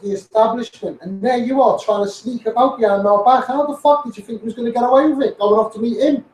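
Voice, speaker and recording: High-voiced blunt Scouse man in echoey room. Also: fast, darkish tone. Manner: blunt, sweary, taunting. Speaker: social media personality. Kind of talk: confrontational rant to followers.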